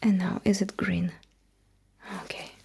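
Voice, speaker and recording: A woman performs an ASMR roleplay as a sci-fi technician in a breathy whisper. She speaks very slowly and softly, with a mellow tone and a reassuring, instructive manner. The microphone is close, with faint noise and clicking behind her. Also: medium pitch, level tone.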